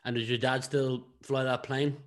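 A man's voice: mid-range voice, level tone, normal speed. A man with an Irish accent hosting a podcast and putting questions to a guest in an informal, conversational way. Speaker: podcast host. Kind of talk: conversational interviewing.

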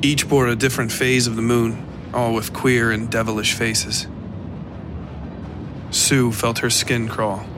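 A man narrating a scripted dark fiction story for a podcast. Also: mid-range voice, little pitch movement, measured pace, background noise. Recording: clear music bed behind voice. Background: music.

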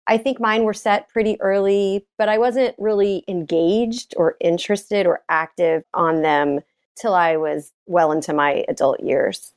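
A woman's voice: mid-range voice, fairly even intonation, unhurried, clean tone, dry recording, clean studio sound. A middle-aged woman from Texas answering interview questions in a casual, reflective conversational way. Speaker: interview guest, gun violence prevention advocate.

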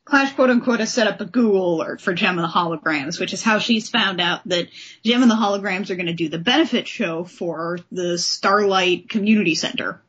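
A woman with a husky, mid-pitched voice chats as a podcast host about comics. She is enthusiastic and informal, and the sound is a little dull and lo-fi. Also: fairly quick, fairly bright tone. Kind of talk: casual comic book discussion.

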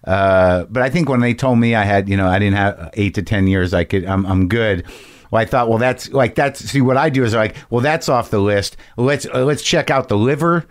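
A man in his fifties hosting a casual interview chat, reflective, quick to laugh and swearing casually. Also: fairly low pitch. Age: 50s.

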